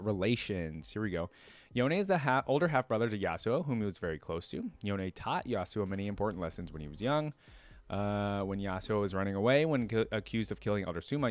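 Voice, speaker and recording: A man hosting a reaction video, reading lore aloud and breaking in with informal, off-the-cuff commentary as he thinks out loud. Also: on the low side. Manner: informal, thinks aloud between read passages.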